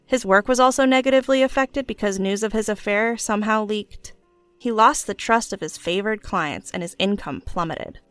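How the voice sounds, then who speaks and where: A woman's voice: medium pitch, moderate pace, a bit bright, clear, resonant tone, dry recording. A woman reading a scripted first-person story as its narrator. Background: background music.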